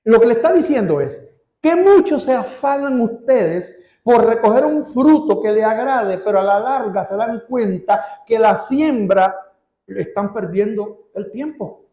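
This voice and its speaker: A man preaching a sermon as pastor, stretching out key words and rising in pitch for emphasis. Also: animated, unhurried, dark tone, reverberant. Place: church.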